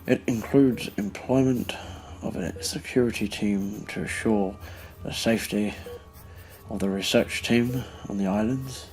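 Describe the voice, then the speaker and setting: Man playing a slow, halting old businessman. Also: on the low side, a little mellow, faint music behind, lightly acted, rough recording. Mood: formal, determined. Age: older. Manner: halting, pleading. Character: frail old businessman, earnest and stubborn, in a hospital bed. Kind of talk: radio play acting.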